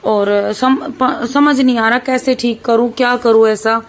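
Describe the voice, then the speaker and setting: Hoarse chatty woman vlogger. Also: on the high side, steady tone, a little slow. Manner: chatty, keeps saying "bhai", talks while cooking. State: hoarse, sore throat. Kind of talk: casual vlog chat.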